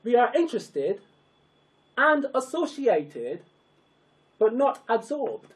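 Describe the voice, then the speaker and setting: Slow, solemn orator with a swooping delivery. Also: mid-range voice, big pitch swings, poor audio. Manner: solemn, emphatic oratory in short broken phrases. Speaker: narrator of a political address. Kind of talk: scripted, declamatory public address.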